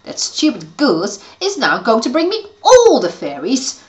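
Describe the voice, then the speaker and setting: A woman's voice: on the high side, wildly swooping pitch, unhurried, pitched above the performer's own voice. A woman voicing a scheming, mean female witch who gloats and cackles. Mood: gloating. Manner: cackling, sly and exaggerated.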